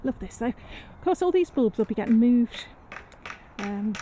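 A British woman with a fairly high, soft-toned voice chats informally as she shows viewers around. She rambles in a relaxed, self-deprecating way. Some outdoor background noise comes through the handheld camera's microphone. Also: unhurried. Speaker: gardening vlogger. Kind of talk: casual rambling garden tour to camera. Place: allotment garden and greenhouse. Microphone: handheld camera's built-in microphone.

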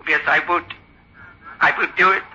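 A piercing, high-set little dummy in an old radio horror play. He schemes and taunts with open menace, raspy through a dull, lo-fi recording. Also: steady tone, moderate pace, pushed and bright, full character voice. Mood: menacing, taunting. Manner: taunting, threatening. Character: malevolent ventriloquist's dummy, small scheming male doll.

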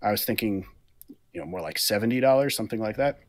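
A man's voice: fairly low pitch, animated, quick pace. Chatty man explaining on a podcast. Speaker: podcast co-host. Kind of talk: casual podcast chat. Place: room at home. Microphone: headset on a video call.

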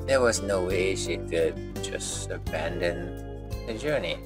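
A man's voice: deep voice, animated, takes it slowly. A man streaming a game with casual, offhand commentary, muttering and paraphrasing as he reads. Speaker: gaming streamer. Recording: background game music. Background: game music.

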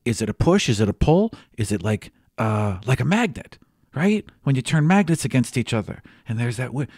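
A man voicing an anxious, eager, shy young bird-person who stammers and rambles haltingly. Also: mid-range voice, big pitch swings, darkish tone, dry recording, studio quality.

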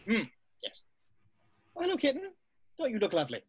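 A woman's voice: a little high, huge pitch swings, brisk, husky, exaggerated voice. A woman playing a fussy, affectionate father in a table read, with a hesitant, doting manner. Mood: fussy fondness.

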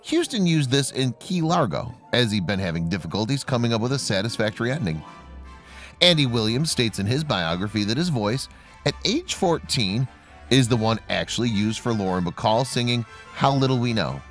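A man reading scripted trivia narration in a measured, formal manner. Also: mid-range voice, very animated, faint music behind.

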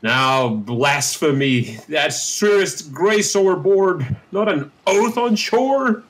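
A man's voice: on the high side, animated, slow pace. A man playing a pious, kindly old courtier in a Shakespearean radio play, declaiming verse with fervor. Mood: excited. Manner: fervent, declamatory verse delivery. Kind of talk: Shakespearean radio drama acting.

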